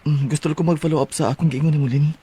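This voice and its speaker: A man's voice: a little high, fairly even intonation, very fast, warm tone, slightly rough. A man acting as a bashful, lovestruck security guard in a Bisaya radio drama, hesitant and pleading, with a Cebuano accent. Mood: pleading, tender.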